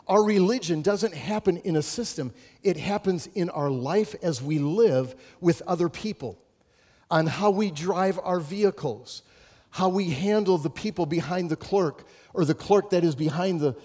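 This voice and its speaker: Animated, higher-pitched male preacher. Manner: animated preaching with rising emphasis, then quieter teaching. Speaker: pastor. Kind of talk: sermon.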